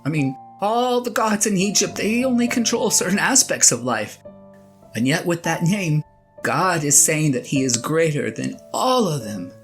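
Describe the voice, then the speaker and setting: A woman's voice: low voice, expressive, measured pace. A woman playing a flustered, self-doubting shepherd husband in an audio drama, stammering earnestly as the character confides in a spouse. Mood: hesitant, earnest. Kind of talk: one-sided audio drama monologue, conversational and comic. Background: plucked guitar music.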